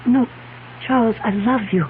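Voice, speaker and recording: An actress playing a cool, determined, scheming fiancée in a radio thriller, insistent and self-assured. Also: female voice, on the high side, normal speed, lightly acted. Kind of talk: vintage radio thriller acting. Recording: tinny, noisy old radio broadcast.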